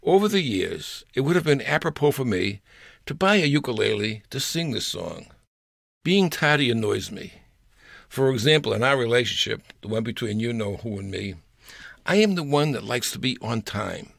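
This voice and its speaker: A man's voice: medium pitch, big pitch swings, normal speed, creaky, fairly bright tone, no echo, studio quality. An older man reading his own humorous personal story aloud in a wry, storytelling manner. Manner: wry storyteller with playful voices.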